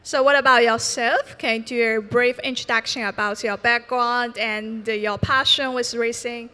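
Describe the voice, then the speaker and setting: A woman's voice: a little high, steady tone, normal speed, bright, forceful tone. A woman hosting a live esports event on stage, introducing players in Mandarin and English with upbeat, formal announcer phrasing. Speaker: bilingual event emcee.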